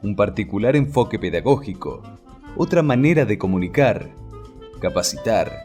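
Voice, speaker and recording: A man's voice: lowish voice, expressive, moderate pace, a little mellow. A man with an Argentine accent reading a scripted radio outro as announcer. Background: guitar music.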